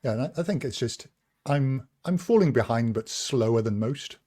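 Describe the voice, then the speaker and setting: A man co-hosts a relaxed podcast conversation and steers the questions around the group. He talks in a chatty, self-deprecating way and often makes fun of his own skills. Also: mid-range voice, moderate pace. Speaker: podcast co-host, moderator. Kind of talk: casual chat.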